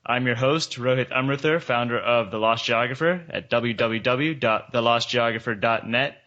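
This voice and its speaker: A man's voice: medium pitch, normal speed, sharp tone. A man hosting a podcast, delivering promos and introductions and putting questions to a guest. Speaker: podcast host. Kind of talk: scripted promo and interview hosting. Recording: dull lo-fi podcast audio.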